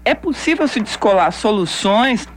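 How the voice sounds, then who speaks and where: A woman's voice: medium pitch, expressive, normal speed, a little hiss or hum. A woman from Bahia hosting a call-in radio show, talking bluntly and conversationally with rhetorical questions. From Bahia, Brazil. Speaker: radio host.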